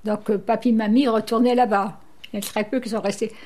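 Older woman from Brittany, interview guest; chatty, rambling storyteller, unhurried anecdotes. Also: a little high, steady tone, a little mellow. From Brittany, France. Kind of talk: radio interview, anecdotal chat.

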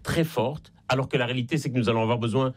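A man with a Belgian French accent, a guest author on a radio interview, explaining in a measured way and stressing key points. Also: medium pitch.

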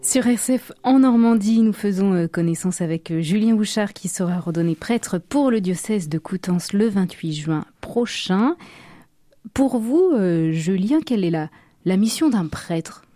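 A woman hosting a radio interview, asking questions in a gentle, measured way. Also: mid-range voice, expressive, no echo, studio quality. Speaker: radio host.

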